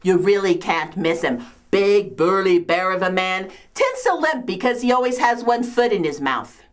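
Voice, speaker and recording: A woman's voice: medium pitch, expressive, normal speed, voice pushed down, close to an everyday voice. A woman playing a complaining, martyred old mother-in-law from biblical times in a comedic monologue, with a New York accent and theatrical delivery. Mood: fussy, complaining. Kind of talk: comedic dramatic monologue, performed.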